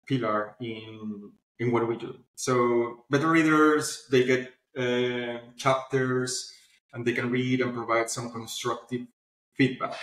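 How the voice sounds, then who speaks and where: A man's voice: medium pitch, a bit bright. A man being interviewed on a video call, explaining his platform informally with everyday examples. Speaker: founder of a publishing platform, podcast guest. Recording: roomy echo, online video call.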